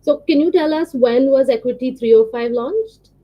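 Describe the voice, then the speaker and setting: Woman podcast host, high voice, kinda muffled lo-fi audio, lots of uhs when asking questions. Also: normal speed. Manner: reads introduction smoothly, asks questions with many fillers. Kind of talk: scripted intro, then interview questions.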